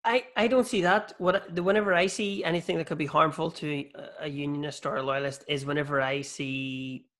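A man with a Northern Irish accent in casual chat, animated and sweary as he tells stories. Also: a little high, brisk, hardly any pauses. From Northern Ireland, near South Armagh.

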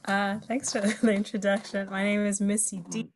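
A woman's voice: mid-range voice, flat delivery, normal speed, slight background noise. A woman in her twenties from Ivory Coast and Zimbabwe, whose first language is French, telling her story as a guest in a casual interview with frequent laughs and fillers. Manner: chatty, laughs often, trails into fillers. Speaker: guest musician.

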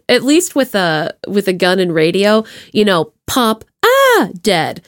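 A woman with a mid-pitched voice hosts a chatty podcast. She is playful and jokey as she puts questions and thoughts to her guest. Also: big pitch swings, slow pace. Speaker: podcast host. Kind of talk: casual chat, asking questions.

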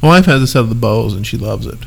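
A man's voice: medium pitch, lively intonation, very fast, creaky. A man in his thirties with a stuffed-up nose, co-hosting a casual podcast chat in an easygoing, rambling way. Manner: easygoing, laughs often, rambling asides. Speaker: podcast co-host.

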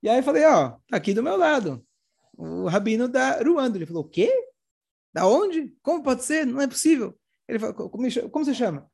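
A Brazilian man, hoarse with a cold, telling religious stories informally and acting out the dialogue as he goes. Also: extremely high pitch, sing-song, a little fast, darkish tone. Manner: acts out dialogue while retelling. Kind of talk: animated informal religious storytelling talk.

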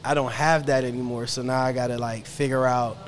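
A man's voice: medium pitch, steady tone, brisk, a little hiss or hum. A man who grew up in Ghana, a guest in a casual podcast talk, reflective and often adding "you know what I mean". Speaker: guest, fashion creative. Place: indoor studio.

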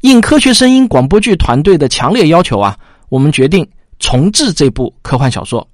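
A man's voice: fairly high pitch, sing-song, a little fast, no echo, clean studio sound. A man hosting a podcast, giving a casual, self-deprecating spoken introduction. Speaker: podcast host.

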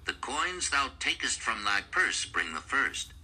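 A man reading an audiobook as a narrator, in measured, declamatory storytelling style. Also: mid-range voice, big pitch swings, bright, forceful tone. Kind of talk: scripted audiobook narration in archaic storybook English.

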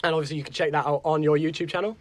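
A male interviewer in a studio speaks fast in a slightly raised voice. He fills his questions with hesitant "uh"s. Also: a little high, steady tone, no echo. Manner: hesitant, many "uh" fillers.

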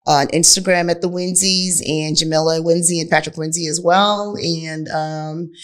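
A woman's voice: low voice, fairly even intonation, moderate pace. A woman co-hosting a podcast in casual conversation, with a candid, playful and teasing manner. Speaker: podcast co-host. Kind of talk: casual couples chat.

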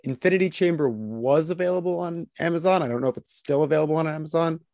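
A man's voice: fairly high pitch, fast talker, creaky, darkish tone, no echo, clean studio sound. A man hosting a podcast, introducing guests and interviewing them. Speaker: podcast host.

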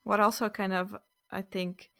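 A woman hosting a casual interview, phrasing her questions and thoughts with hesitant pauses. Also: mid-range voice, level tone, vocal fry, sharp tone. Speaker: podcast host.

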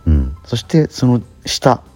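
A man's voice: medium pitch, big pitch swings, measured pace, dark tone. A middle-aged man chatting casually as a radio host, telling stories with acted-out asides. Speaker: radio show host and stage actor. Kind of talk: casual radio chat about a theatre production. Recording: background music under voice. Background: music.

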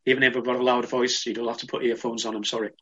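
A man's voice: medium pitch, flat delivery, quick pace, sharp tone, breathy edge, highs cut off. Man leading prayer at an online service. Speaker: worship leader. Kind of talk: prayer and informal address in an online church service.

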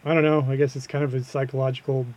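Quiet, deep-sounding man co-hosting a casual podcast, hesitant and halting. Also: level tone. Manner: quiet, hesitant, stumbles and repeats words. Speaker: podcast co-host.

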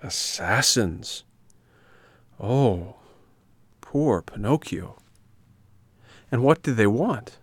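A man's voice: medium pitch, expressive, very slow, pauses often, slightly rough, dry recording, exaggerated voice. Man voicing a sanctimonious, sly storybook fox. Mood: mock outrage. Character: sly, falsely sympathetic, sanctimonious male fox.

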